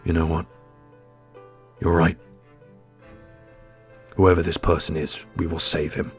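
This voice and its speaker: A low, gravelly prince of a fantasy audio drama, played by a man, solemn and loyal. He speaks with earnest, heroic weight over orchestral music, every phrase set down deliberately. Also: level tone. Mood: defiant, rousing. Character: solemn, loyal young prince and war leader, protective brother.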